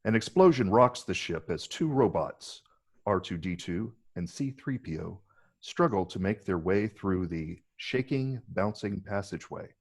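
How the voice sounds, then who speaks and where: A man's voice: low-pitched, lively intonation, a little slow, a little mellow, slightly rough. A man narrating stage directions from a film script in a dramatic table read.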